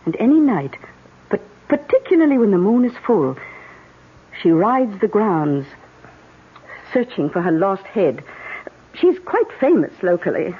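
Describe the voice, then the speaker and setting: A woman's voice: mid-range voice, very animated, a little slow, pauses often, breathy edge. An actress playing a worldly, upper-class British lady in a radio drama, telling stories with arch, breezy understatement. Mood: storytelling. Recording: tinny narrowband radio drama, faint music.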